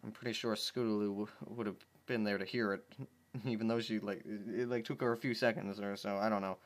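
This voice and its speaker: A man's voice: lowish voice, fairly even intonation, brisk. A man narrating fan fiction as an amateur reader, informally, with exasperated casual asides and stumbles over his words.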